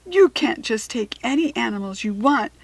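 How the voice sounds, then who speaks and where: A woman's voice: fairly high pitch, expressive, normal speed, no echo. Casting: woman to play small kindly female fairy, lifted voice, eager and earnest, storybook tale. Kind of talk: children's storybook reading.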